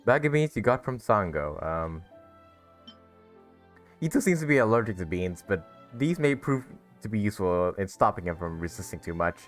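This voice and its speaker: Low-voiced guy doing a jokey let's play, really animated, faint game music. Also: normal speed. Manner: jokey, reading game text aloud. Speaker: gaming streamer. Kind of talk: casual let's play commentary.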